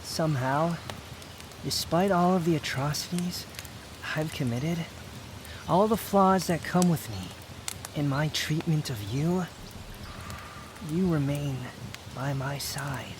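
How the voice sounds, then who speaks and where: A man's voice: fairly high pitch, slow pace, pauses often, noisy background. A man playing a proud, bitter young man, guarded but tender, in an intimate ASMR roleplay with brooding, curt, confessional delivery. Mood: guarded, vulnerable. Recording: outdoor nature ambience clearly behind voice.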